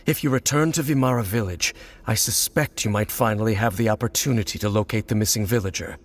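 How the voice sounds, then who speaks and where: An actor playing a grave, mysterious male wanderer in a video game, giving measured, commanding orders. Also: close to an everyday voice. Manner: measured, commanding, low-key delivery. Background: soft game music.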